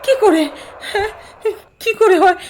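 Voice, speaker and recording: A woman's voice: very high-pitched, a little slow, a little mellow, slight background noise. A woman playing a distressed woman in a horror audio drama, protesting in an agitated, pleading way. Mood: horrified, pleading.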